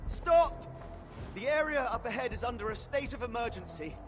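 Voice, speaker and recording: A man's voice: extremely high pitch, animated, normal speed. An actor playing a strict, officious male sentry in a video game, commanding, with music behind him. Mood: stern, urgent.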